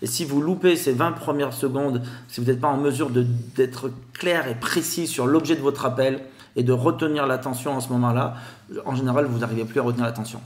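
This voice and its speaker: A man with a Grenoble accent, a guest explaining telemarketing in a podcast interview, with a lively sing-song way of talking. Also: mid-range voice, a little fast, echoey room. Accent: Grenoble French.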